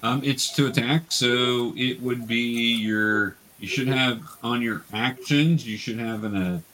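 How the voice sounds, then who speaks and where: A man's voice: medium pitch, a little slow, bright, forceful tone, rough recording. A man running a tabletop roleplaying game as game master, explaining the steps patiently and casually to players.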